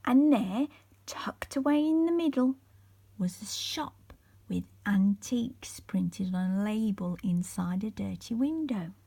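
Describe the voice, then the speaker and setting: Slow, breathy woman reading a bedtime story. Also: medium pitch, lively intonation, warm tone, no room sound. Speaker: story reader. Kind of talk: bedtime story read-aloud.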